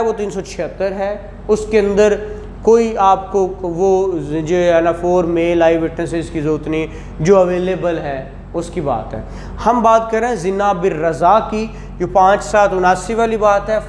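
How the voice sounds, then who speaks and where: Pakistani guy teaching a class, slow deliberate talk, high voice, repeats stuff. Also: a little mellow. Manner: didactic, repeats key phrases slowly. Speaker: law lecturer. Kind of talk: classroom lecture with rhetorical questions. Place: indoor classroom. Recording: echoey classroom with faint noise.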